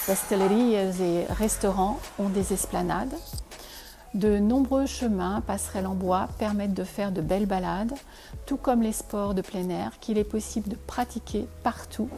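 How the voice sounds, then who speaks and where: A woman whose native language is Portuguese gives a measured, articulate scripted presentation as a real estate advisor. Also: mid-range voice, dark tone. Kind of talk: scripted promotional presentation to camera. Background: faint music.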